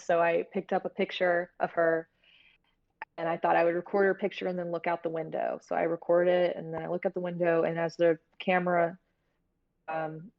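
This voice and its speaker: An American woman from Florida talking casually and candidly as an interview guest, in a halting storytelling way. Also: lowish voice, vocal fry. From Florida, United States. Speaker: guest, horror series producer. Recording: dull, lo-fi audio.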